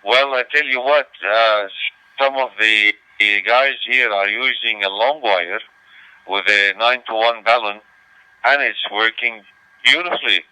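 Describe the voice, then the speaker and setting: A man's voice: on the low side, measured pace, pushed and bright, clean tone, no echo. A man chatting casually over amateur radio, hesitating and repeating his phrases. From Lebanon. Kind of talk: casual ham radio chat. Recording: dull lo-fi digital radio transmission.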